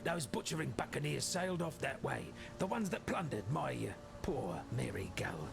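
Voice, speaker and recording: An actor voicing a rueful, wistful shipwrecked sailor in a video game, with a gravelly voice and music behind him. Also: male voice, mid-range voice, animated, normal speed. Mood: bitter, rueful. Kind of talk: video game character dialogue.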